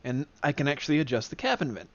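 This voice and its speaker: A man giving a casual spoken tutorial as an enthusiast, in a halting way with frequent throat clearing. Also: on the high side, very animated. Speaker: demonstrator, flight simulator enthusiast. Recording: dull lo-fi close dry recording.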